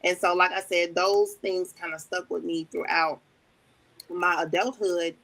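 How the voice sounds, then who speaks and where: A woman from Chicago, a guest on a talk show, telling her story in a relaxed way with lots of "um"s. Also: on the low side, a little slow, creaky, poor audio. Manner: warm storytelling with many fillers. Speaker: wedding planner and ordained elder, guest. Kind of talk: casual interview talk.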